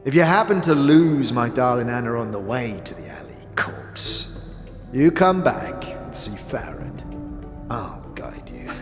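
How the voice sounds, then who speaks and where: A man playing a sly old crime boss in a fantasy audio drama, with a gravelly voice and deliberate, mock-courteous delivery. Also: mid-range voice, big pitch swings. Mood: sly command. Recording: long reverberant hall with music.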